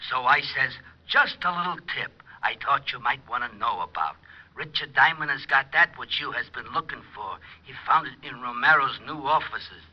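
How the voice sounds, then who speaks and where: An actor playing a dim, self-satisfied police sergeant in an old-time radio comedy-drama, slangy and boastful with clumsy grammar. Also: male voice, mid-range voice, natural voice. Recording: dull old radio recording.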